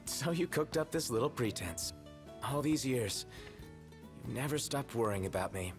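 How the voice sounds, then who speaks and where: A man's voice: medium pitch, lively intonation, normal speed, over music, lightly acted. A man voicing a laid-back young prince in a video game, casual and dry with an American accent. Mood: dryly teasing. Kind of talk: video game cutscene voice acting.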